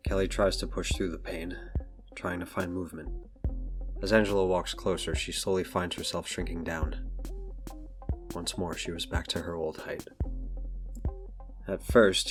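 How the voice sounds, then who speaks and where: A man narrating a scripted audio drama in the third person. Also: low-pitched. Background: background music.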